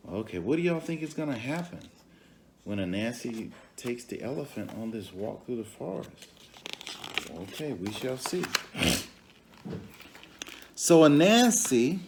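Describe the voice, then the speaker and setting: A man reads a children's story aloud at a leisurely pace. His voice swings widely in pitch, and he talks directly to his listeners in an expressive storyteller's manner. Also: a little hiss or hum. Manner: expressive, pauses to question and comment to the young audience. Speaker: storybook reader.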